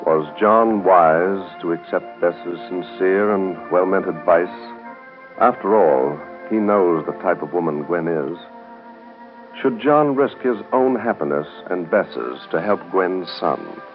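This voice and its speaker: A man's voice: sits low, takes it slowly. A man announcing formally from a script in 1930s radio style. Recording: tinny 1930s radio broadcast with faint music.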